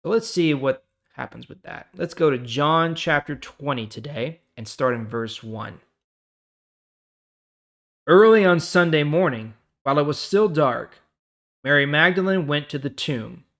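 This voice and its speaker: A man hosting a Bible reading series, speaking conversationally with dramatic pauses and emphasis as he reads and comments. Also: fairly high pitch, animated, fairly bright tone. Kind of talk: casual devotional reading and commentary.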